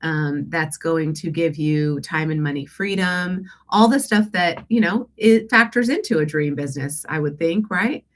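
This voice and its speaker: A woman hosting a casual live coaching Q&A, congested from allergies, talking conversationally with hesitant pauses and check-ins with her listeners. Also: low voice. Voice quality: stuffy, congested nose. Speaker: host and life and business coach.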